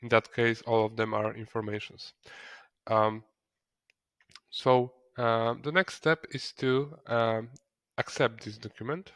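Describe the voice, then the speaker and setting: Guy doing a software tutorial, kinda hesitant, repeats words, medium pitch, dry sound. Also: fairly even intonation, slow pace, no echo. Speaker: software trainer. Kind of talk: screen-recorded software tutorial, explaining step by step.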